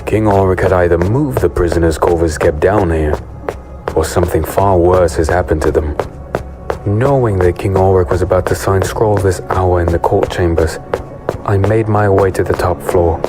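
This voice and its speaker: Male actor as haunted sellsword narrator, low and grave, fantasy audio drama, prominent music underneath. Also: moderate pace, natural voice. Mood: grave, brooding. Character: brooding male sellsword infiltrator, haunted former prisoner, narrating.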